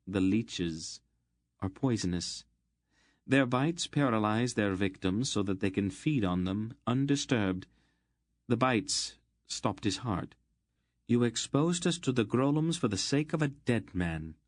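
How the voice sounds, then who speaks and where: A man voicing an ancient, stern sorceress woman who speaks in a cold, clipped, scathing way, raised well above his own low voice. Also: animated, slow pace, no echo, studio quality. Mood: furious.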